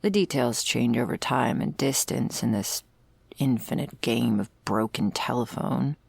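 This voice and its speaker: A woman playing a jaded, sardonic vampire's servant in an intimate ASMR roleplay, talking in a wry, world-weary, gravelly way. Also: extremely low pitch, unhurried, no echo, full character voice. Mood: dry, musing. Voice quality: very creaky, gravelly. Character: jaded, sardonic female vampire's servant explaining undead lore.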